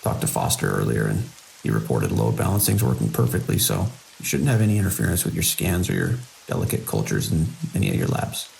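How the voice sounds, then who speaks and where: A man playing a seasoned, wry security chief in an audio drama, with a measured, world-weary, procedural delivery and a gravelly voice. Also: extremely low pitch, huge pitch swings, darkish tone, heavily acted, low-quality recording. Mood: businesslike. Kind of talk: audio drama dialogue and log monologue. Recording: faint hiss and rain-like noise.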